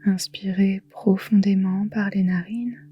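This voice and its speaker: Slow, breathy woman guiding relaxation. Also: mid-range voice, highs cut off. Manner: soft, breathy, whispery delivery; slow, calm, soothing, with long pauses. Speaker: yoga nidra guide. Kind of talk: guided relaxation meditation.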